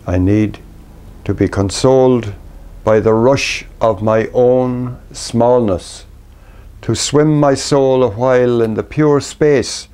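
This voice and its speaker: An Irish man reading his own poetry in a measured, deliberate way, pausing between short phrases. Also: medium pitch, darkish tone. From Ireland. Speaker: poet reading own work.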